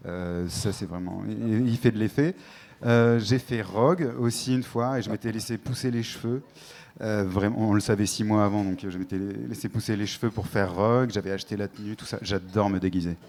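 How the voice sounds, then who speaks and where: A young man from the north of France with a fairly low voice, talking in a relaxed, easygoing way. He keeps his answers short and drops an "ok" again and again. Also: brisk, slight background noise. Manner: relaxed, short replies, repeats ok. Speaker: dating show contestant. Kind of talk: casual chat.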